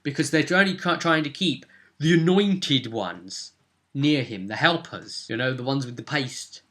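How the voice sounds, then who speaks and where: A man's voice: mid-range voice, animated, unhurried, fairly bright tone. A man working as game master, recapping a tabletop role-playing session in an informal, rambling storytelling way.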